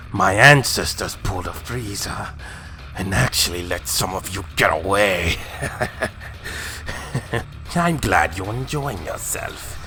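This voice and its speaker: A man's voice: sits low, sharp tone, heavily acted. A man playing a sadistic alien villain in an anime fan drama, taunting and theatrical with menace. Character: sadistic alien villain with vengeful grudge, possessing a father's body. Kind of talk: anime fan-fiction voice acting.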